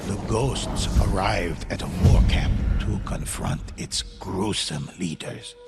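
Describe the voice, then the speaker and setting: A man acting as a solemn storyteller, narrating a dark folk tale for a video game in a grave, measured, ominous manner. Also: sits low, expressive, gravelly, over loud music, exaggerated voice. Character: solemn male storyteller of dark ghost legends.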